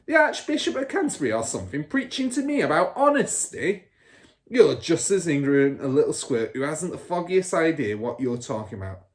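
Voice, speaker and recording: A man voicing a boastful, bullying car-dealer father in a storybook, loud and scornful. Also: fairly high pitch, sing-song, fairly quick, voice pushed up. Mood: angry, blustering.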